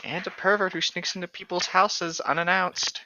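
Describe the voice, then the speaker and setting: A man's voice: high voice, little pitch movement, normal speed, muffled recording, noisy background. A man co-hosting a read-along show, reading passages aloud and breaking off into casual, mocking jokes. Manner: jokey, mocking.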